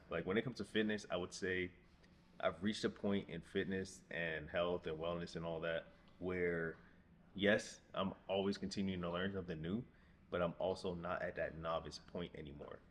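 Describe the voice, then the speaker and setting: Very deep-voiced man in his twenties. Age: mid-twenties. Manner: rambling, repeats phrases, relaxed. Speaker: vlogger. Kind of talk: casual vlog talk to camera. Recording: echoey room with background noise.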